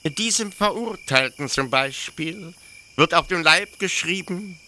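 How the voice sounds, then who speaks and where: A man acts out a zealous young officer of a penal colony in a radio play. His voice is sharp and very bright, swinging widely as he explains with pedantic, halting pride. There is faint noise behind him. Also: a little high, animated, heavily acted. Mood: matter-of-fact, cold. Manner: hesitant pedantic explaining, with stammered pauses. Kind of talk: German literary radio play acting.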